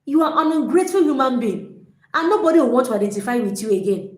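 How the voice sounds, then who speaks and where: A woman giving an energetic, emphatic motivational talk as a relationship coach, often repeating her points. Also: sits high, fast. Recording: echoey room.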